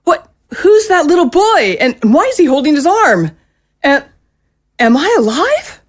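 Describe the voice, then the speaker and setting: A woman's voice: high-pitched, big pitch swings, unhurried. An actress playing a confused, faltering mother who has just been revived as a zombie in an audio drama. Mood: dazed, bewildered.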